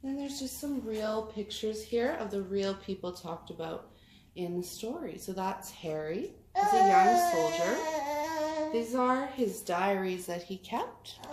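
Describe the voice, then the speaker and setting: In a roomy, echoing space with clear background noise, a woman reads aloud for children. She phrases things expressively and deliberately, as a tutor would with a picture book. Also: medium pitch, lively intonation, darkish tone. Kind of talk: children's story read-aloud. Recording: echoey room, clear background noise, clicks.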